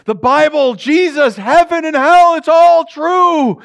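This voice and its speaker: A man voicing the anguished, urgent ghost of a long-dead brother, with drawn-out, wailing warnings in a told story. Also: very high-pitched, gravelly, fairly bright tone, pitched above the performer's own voice, full character voice.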